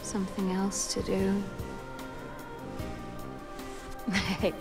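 An actress plays a flirtatious, needy woman in a video game drama. She takes her words slowly, teasing and coaxing, and her moods can turn on a breath. Music sits clearly behind her. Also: medium pitch, close to an everyday voice. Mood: playful, teasing. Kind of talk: naturalistic video game cutscene acting.

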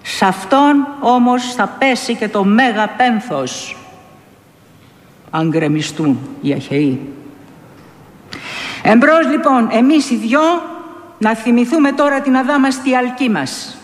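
A woman playing a brave, loyal male warrior hero in a staged epic recitation, firm, measured and commanding. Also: a little high, expressive, voice pushed up, natural voice. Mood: stern, rallying. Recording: echoey hall with long reverb.

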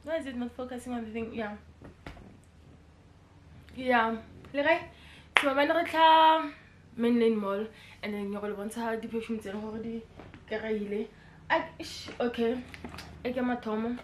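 A woman's voice: fairly high pitch, normal speed, pauses often, reverberant, a little hiss or hum. A young woman from South Africa with a Pretoria accent, chatting playfully in a casual vlog, mixing English and local slang and breaking into bits of song. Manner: playful and animated, bursts into snatches of song. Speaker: vlogger. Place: indoors at home, in front of a brick wall.

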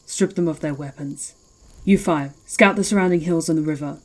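A woman playing a fierce, mocking werewolf chieftain in an ASMR roleplay, speaking in a slow, taunting, imperious way. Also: deep voice, darkish tone. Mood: commanding.

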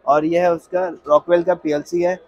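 A man's voice: on the high side, little pitch movement, normal speed, a little mellow, muffled recording. A man with an Indian accent demonstrating products as an exhibitor, explaining by asking and answering his own questions. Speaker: exhibitor, industrial automation company employee. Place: industrial exhibition stall.

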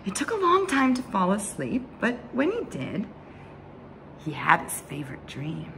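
A young woman reading a children's picture book aloud in an expressive, dramatic way, drawing out words and dropping into hushed asides. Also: mid-range voice, measured pace, vocal fry, a little hiss or hum. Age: young adult. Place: small bright room indoors at home.

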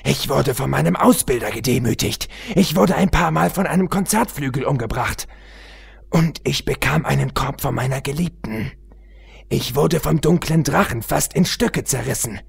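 A man's voice: mid-range voice, expressive, moderate pace, creaky, husky, voice pushed up, full character voice. A man voicing a brash, embittered young female pony fighter in a storybook, emphatic and aggrieved. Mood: bitter, angry.

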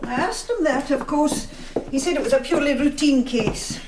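An actress playing a guarded, proper clinic manager in a radio drama, formal and firm, with a British accent. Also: female voice, sits high, moderate pace, noisy background, natural voice. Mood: defensive.

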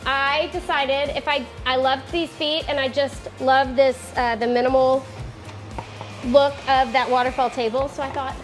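High-voiced woman chatting through a build. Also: little pitch movement, measured pace, faint music behind. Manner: chatty, self-correcting, laughs often. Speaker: DIY woodworking host. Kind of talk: casual build tutorial. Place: home garage workshop.

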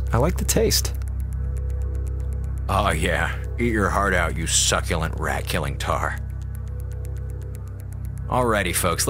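An actor playing a sarcastic, theatrical slacker communications officer on a space station in a radio drama. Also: male voice, on the low side, fairly bright tone. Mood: grumbling, sly, then wry, drawling. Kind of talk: radio drama monologue as a log.

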